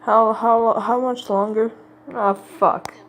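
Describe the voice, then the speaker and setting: A woman giving casual, unscripted reaction commentary in a halting, exasperated way, swearing freely. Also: fairly high pitch, fairly even intonation.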